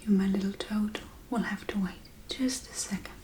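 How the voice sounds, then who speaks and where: A woman voicing a spooky, gently teasing witch in a soft-spoken ASMR role-play, murmuring in a breathy whisper. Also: mid-range voice, fairly even intonation, a little hiss or hum, close to an everyday voice. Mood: teasing, musing. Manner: murmuring, unhurried, muttering to herself. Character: spooky Halloween witch, softly curious, gently teasing, apologetic.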